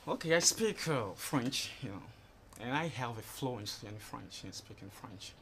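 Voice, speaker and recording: A man's voice: mid-range voice, animated, normal speed, a bit bright. Man from Ghana, twenties, TV studio guest, rambling answers, many fillers, faint background noise. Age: 20s. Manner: rambling, fills with "you know" and "you understand". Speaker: interview guest. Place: TV studio set.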